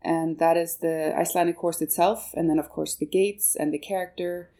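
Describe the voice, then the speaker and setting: A woman's voice: lowish voice, steady tone, normal speed, mellow. A woman who grew up in Canada and whose first language is German, giving hesitant interview answers as a project manager, with many fillers and restarts. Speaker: guest, manager of a marketing project.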